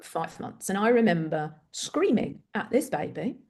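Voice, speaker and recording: A woman's voice: mid-range voice, unhurried, vocal fry. A middle-aged woman with an English accent, from Greece, speaking candidly and with animation as a parent coach guest in an interview. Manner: candid, animated, self-deprecating storytelling. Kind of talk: conversational interview.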